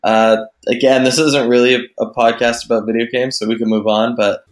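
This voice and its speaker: A man from Boston on a casual podcast, speaking in a lively, self-deprecating way. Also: medium pitch, brisk. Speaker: podcast co-host. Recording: roomy echo.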